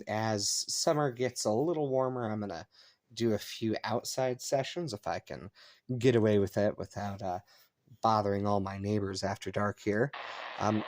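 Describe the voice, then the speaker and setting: A man's voice: lowish voice, a little mellow, no echo. An American man from Colorado giving casual, off-the-cuff commentary as a hobbyist, musing to himself in an easygoing way. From Colorado, United States. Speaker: hobbyist shortwave radio vlogger. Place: upstairs bonus room at home. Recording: home recording with clear background noise. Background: shortwave radio static and stations.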